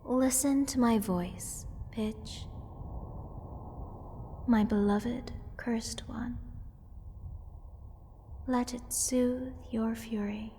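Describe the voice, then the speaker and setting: A woman's voice: on the high side, mellow, slight background noise. A woman playing a gushing, eccentric mage besotted with cursed creatures, in a rambling, whimsical fantasy ASMR roleplay addressed to the listener. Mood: soft, soothing. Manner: slow, rambling, doting, theatrically whimsical. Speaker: voice actor.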